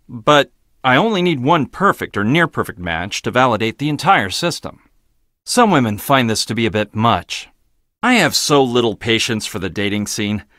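An actor playing an arrogant, blunt, logical research scientist in a video game, with a clipped, dismissive, pedantic delivery. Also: male voice, medium pitch, a bit bright, no echo, studio quality.

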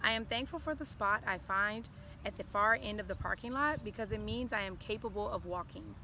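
A middle-aged woman speaks at an average pitch in an informal address, part talk and part reading. She is outdoors at close range to the camera, with clear noise behind her. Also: pushed and bright. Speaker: former school counselor, guest. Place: outdoors on an open lawn. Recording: clearly noisy outdoor recording.

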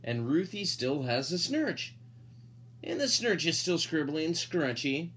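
A man's voice: fairly high pitch, big pitch swings, unhurried, fairly bright tone, slight background noise. A dad reading a picture book aloud expressively, drawing out emphasized words and adding playful, casual asides to his listeners. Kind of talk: animated storybook read-aloud with casual asides.

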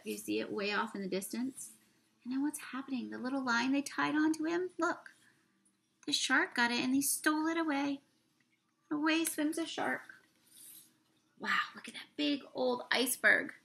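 Young female storyteller for kids' picture book read-aloud; raised pitch, noticeable fry, expressive and questioning delivery. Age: young adult. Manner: expressive, drawn-out words, asks the listeners questions. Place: small room indoors.

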